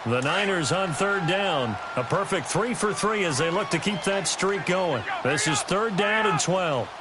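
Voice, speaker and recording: A man's voice: sits high, animated, measured pace. A man with an American accent calls American football play by play in clipped, projected announcer phrases. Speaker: play-by-play sports commentator. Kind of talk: American football game commentary. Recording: very noisy, game sound over voice. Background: stadium ambience from a video game.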